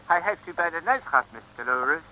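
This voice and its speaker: A fussy, know-it-all sidekick who drops English phrases into every sentence. The tone is very bright and a little high. A soft hiss runs under it. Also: male voice, lively intonation, fairly quick, low-quality recording. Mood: prim. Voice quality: mixes English phrases into Dutch. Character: prim English-flavoured male sidekick. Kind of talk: 1950s radio comedy acting.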